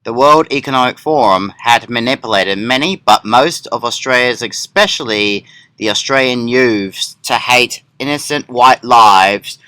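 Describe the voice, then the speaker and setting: A man's voice: mid-range voice, sharp tone, no room sound, low-quality recording. A man reading scripted narration in the manner of a political commentary. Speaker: narrator and presenter of an upload.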